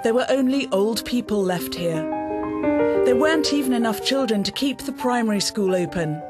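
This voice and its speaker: Woman reporter over loud music. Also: a little high, normal speed. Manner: steady, even reporting. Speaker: news reporter. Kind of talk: scripted voice-over narration.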